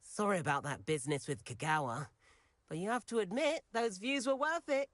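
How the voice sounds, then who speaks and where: Game role: upbeat British schoolboy flyer, cheeky and talkative, very animated intonation. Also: male voice, high-pitched, fairly quick, dry recording. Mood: breezy, apologetic. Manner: chatty, breezy. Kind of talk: video game character dialogue.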